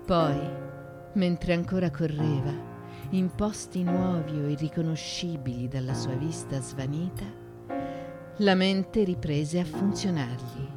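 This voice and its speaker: Low-voiced woman, intense slow dramatic reading. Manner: intense, theatrical, deliberate phrasing with pauses. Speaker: actress reading literary monologue. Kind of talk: dramatic literary narration for radio theatre. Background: music.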